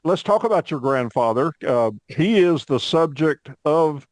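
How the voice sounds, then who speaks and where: A man's voice: fairly high pitch, lively intonation, a little slow, no room sound. Chatty middle-aged male podcast host. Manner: friendly, chatty, with frequent uhs. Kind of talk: podcast interview hosting.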